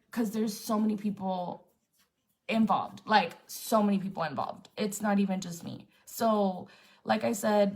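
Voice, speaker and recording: A woman's voice: medium pitch, a little mellow. A young woman influencer venting bluntly and defiantly to her viewers on a live stream, swearing often and filling with "like". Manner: blunt and defiant, swears a lot, many "like" fillers. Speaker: influencer on a livestream.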